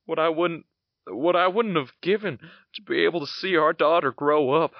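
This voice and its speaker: An actor playing a gentle, tired soldier father in an audio drama, speaking wistfully and haltingly as he longs for home. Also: on the high side, no room sound, muffled recording. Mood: wistful, longing. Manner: wistful, halting, stammering delivery.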